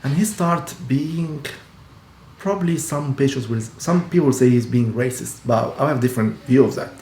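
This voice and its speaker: A man's voice: mid-range voice, normal speed, darkish tone. A man, talking casually to camera as a dentist, earnest and explanatory with frequent restarts. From Egypt. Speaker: dentist and practice owner. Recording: echoey room with faint hum.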